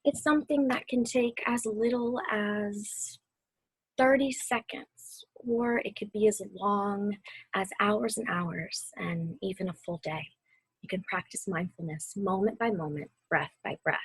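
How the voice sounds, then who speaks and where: Casting: woman, very breathy and soft, slightly high voice, gentle meditation guide for children, close dry sound. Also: no room sound, rough recording. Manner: soothing, unhurried, softly spoken. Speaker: mindfulness guide reading a children's book aloud.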